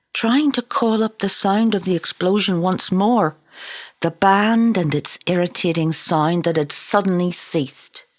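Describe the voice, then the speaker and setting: A woman's voice: low voice, no room sound. A woman reading their own literary novel aloud in a dramatic, incantatory manner. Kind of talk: literary audiobook narration.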